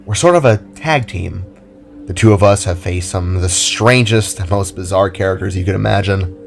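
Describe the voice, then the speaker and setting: A man's voice: sits low, normal speed, creaky, soft background music. A man playing a hornless young spark creature, a self-conscious and earnest vigilante, in an audio drama. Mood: candid, musing.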